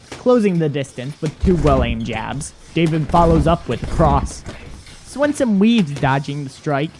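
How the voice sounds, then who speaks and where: A man reading scripted dramatic narration as the narrator of an audio drama. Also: a little high.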